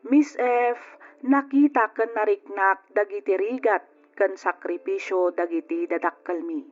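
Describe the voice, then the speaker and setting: A grown daughter played by a woman narrates in a reflective radio-drama style. Her voice sits at a medium pitch. Soft music plays behind the dull, lo-fi recording. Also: fairly even intonation, unhurried, close to an everyday voice.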